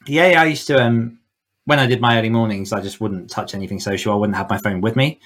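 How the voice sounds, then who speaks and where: A man's voice: fairly low pitch, steady tone, quick pace, fairly bright tone. A man chatting casually as a podcast guest, explaining his thoughts in a reflective way. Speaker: podcast guest, author coach. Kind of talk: casual interview chat.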